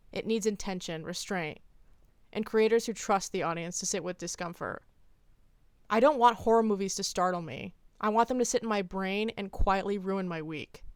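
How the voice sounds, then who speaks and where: A woman video essayist with a clean, smooth voice and a very dry recording free of room sound. She reads scripted opinion commentary in a deadpan way, leaning firmly on her key phrases. Her pitch sits around the middle for a woman. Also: no echo.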